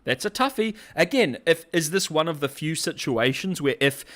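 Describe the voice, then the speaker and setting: A young man hosting a casual livestream, rambling through animated commentary with frequent laughter and fillers. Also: a little high, moderate pace. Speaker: host.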